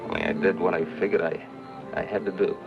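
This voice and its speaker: An actor playing a grave, weary American pioneer, speaking tersely and haltingly in an old radio western. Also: male voice, low voice, level tone, vocal fry. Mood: somber, regretful. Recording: dull old radio recording with music.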